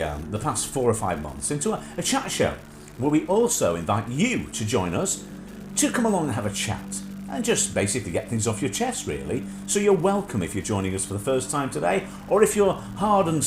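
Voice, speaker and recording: A middle-aged man with a slightly nasal voice hosts a relaxed livestream chat, his pitch swinging up and down all the time. He rambles along with lots of small hesitations. His voice rings in an echoey room with music playing clearly behind. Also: a little fast. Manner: rambling with frequent filler hesitations. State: nasal from sinusitis. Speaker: host.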